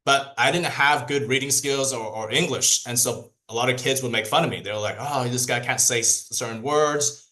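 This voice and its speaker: A man whose first language is Cantonese, giving a lively training talk to a group, asking them questions and repeating key points. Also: medium pitch, normal speed, hardly any pauses, rough recording. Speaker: sales trainer.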